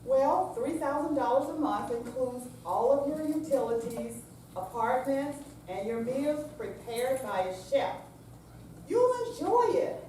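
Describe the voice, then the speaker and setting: A woman playing a patient, coaxing grown daughter in a staged reading, with deliberate, read-out delivery. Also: high voice, lively intonation, dark tone. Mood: coaxing, earnest. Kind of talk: staged readers theater reading. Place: senior center room, staged live reading. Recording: very echoey hall, distant mic, faint noise.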